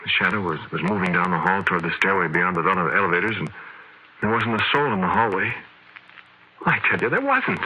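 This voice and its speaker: An actor playing a shaken, haunted man who confides a ghostly encounter in halting, emphatic phrases, in the style of old-time radio horror. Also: deep voice, lively intonation, bright, forceful tone, a little hiss or hum, heavily acted, poor audio. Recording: vintage radio recording.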